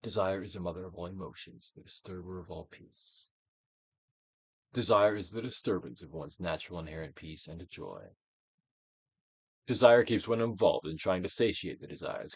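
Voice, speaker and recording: A man reading a book aloud as a theatrical dramatic performance, in a solemn, deliberate, declamatory manner. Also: low-pitched, breathy edge, dry recording, poor audio. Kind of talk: dramatic audiobook reading, theatrical Shakespearean delivery.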